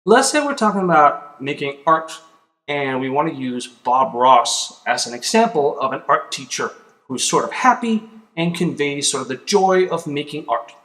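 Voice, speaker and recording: A man's voice: fairly high pitch, poor audio. A man in his thirties from Denmark with a Danish accent, explaining a topic casually to camera in a home office. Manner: relaxed, conversational, with fillers and pauses. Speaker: video host. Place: small home office with a computer. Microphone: webcam or desk camera, close framing.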